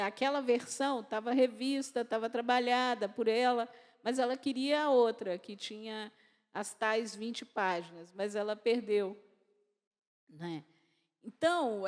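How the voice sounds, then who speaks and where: Slow-spoken woman in a reverberant hall. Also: a little high. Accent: Brazilian Portuguese. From Brazil. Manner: slow, measured, with many pauses and restarts. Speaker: invited author speaking at a literary festival. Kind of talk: unscripted talk answering audience questions. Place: lecture hall at a festival. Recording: long reverb of a large hall.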